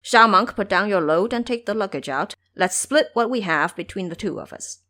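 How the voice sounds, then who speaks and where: A woman's voice: medium pitch, animated, moderate pace, bright, forceful tone. A woman voicing a lazy, blustering old male boar monster in an audio drama, grumbling and blunt. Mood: resigned.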